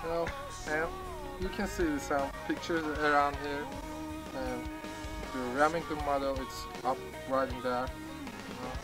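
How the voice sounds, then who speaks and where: A young man from Turkey with a Turkish accent, speaking halting English outdoors and laughing his way through retakes of a gun-safety demo. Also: fairly high pitch, steady tone, loud music behind the voice. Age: young adult. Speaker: first-time shooter presenting a gun. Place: outdoors in a gravel field by a truck. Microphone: camera mic from a few meters.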